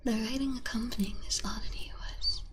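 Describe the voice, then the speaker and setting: A woman streamer reading a story aloud in a soft, unvoiced ASMR whisper on a binaural microphone. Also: on the high side, fairly even intonation, fairly quick.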